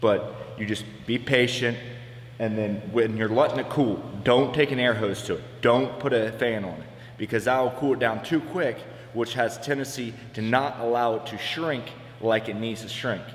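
A man's voice: medium pitch, moderate pace. A man in his thirties giving plain-spoken, practical welding instruction in a large metal shop, recorded on a camera mic some distance away. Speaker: welder demonstrating fabrication. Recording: echoey shop with long reverb.

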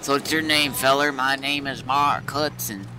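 Man, medium pitch, relaxed roleplay game chat, noticeable engine noise behind. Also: normal speed. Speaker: roleplay gamer and streamer. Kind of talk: casual in-character game chat.

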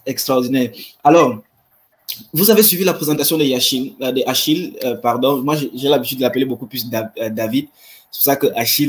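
A young man hosts a livestream in a medium-pitched voice. He is casual and enthusiastic, often repeating himself to drive a point home, speaking over a webcam call. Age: 20s. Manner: enthusiastic, repeats phrases for emphasis. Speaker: livestream host. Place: indoor room with wood-panelled wall. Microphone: webcam video call.

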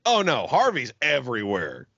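A man's voice: medium pitch, wildly swooping pitch, normal speed, vocal fry, a bit bright, no echo, highs cut off. A man in his thirties co-hosting a podcast in casual chat, swearing freely and laughing often, over a video call from home. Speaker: podcast co-host. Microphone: studio mic on arm, headphones.

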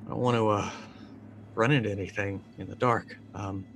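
A man's voice: fairly low pitch, fairly even intonation, normal speed, fairly bright tone, a little hiss or hum. A man voicing an uneasy, polite space colonist in a tabletop game, hesitant and wry. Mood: nervous. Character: uneasy, polite male colonist waking in a wreck.

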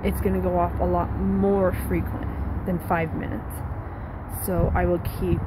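A young woman recording a candid video diary outdoors on a phone held at arm's length, talking in a halting way with frequent pauses. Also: fairly low pitch. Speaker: vlogger. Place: outdoors in a yard with trees. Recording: outdoor traffic noise behind.

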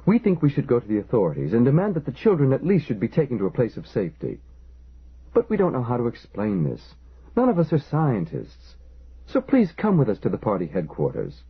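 A man's voice: mid-range voice, huge pitch swings, brisk, slightly rough, voice pushed up, heavily acted. A man voicing a frightened, hesitant middle-aged storekeeper who pleads anxiously and insistently. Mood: pleading. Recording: dull lo-fi recording.

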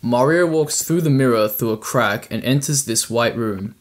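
A man's voice: medium pitch, normal speed. A man reading scripted explanatory narration for a video essay, as its narrator.

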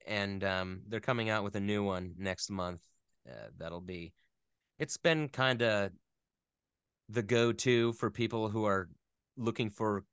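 A man speaking as an interview guest in casual chat, thoughtful and often halting as he searches for words. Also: lowish voice, a bit bright, clear, resonant tone, no echo, studio quality. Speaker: interview guest, video game voice actor.

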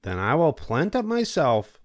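Man voicing a high, determined little hen. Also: huge pitch swings, dry recording. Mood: earnest. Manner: deliberate, earnest storybook delivery.

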